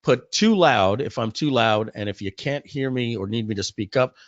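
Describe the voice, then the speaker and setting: A man hosts a live webinar in a casual, chatty way. His mid-pitched voice is a little hoarse, and it comes through close and dry with a slightly muffled sound. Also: moderate pace, no echo. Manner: chatty, informal, addressing the audience directly. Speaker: company founder hosting a webinar.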